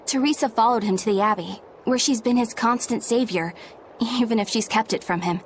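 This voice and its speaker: Woman playing a calm principled young exorcist, fairly high voice, faint background noise, game scene. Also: fairly quick, lightly acted. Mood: explaining. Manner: composed, sincere. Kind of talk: game voice acting.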